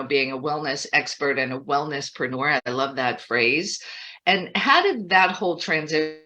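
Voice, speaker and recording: Bright, upbeat woman hosting. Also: sits low. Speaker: host. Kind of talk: webinar interview hosting.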